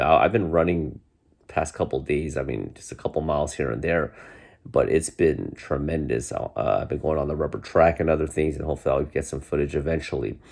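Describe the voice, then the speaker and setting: Deep-voiced man, forties, stuffy and congested, rambling casual chat, home vlog. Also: vocal fry, darkish tone. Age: 40s. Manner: rambling, many restarts and fillers. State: congested, getting over a cold. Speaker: vlogger. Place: bedroom, indoors.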